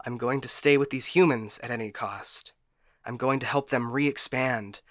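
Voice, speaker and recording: A man's voice: medium pitch, moderate pace, fairly bright tone, no echo, studio quality, natural voice. A man playing a broken, paranoid ship AI in a science fiction audio drama, stammering and getting stuck repeating words. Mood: resolute. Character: frightened, broken male ship AI, paranoid and unstable.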